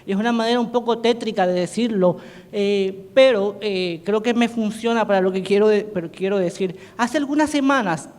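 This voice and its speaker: A man whose first language is Portuguese gives an academic conference presentation as a panelist, talking haltingly with many restarts and self-corrections. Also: high-pitched, a little mellow. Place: conference hall. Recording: reverberant hall sound.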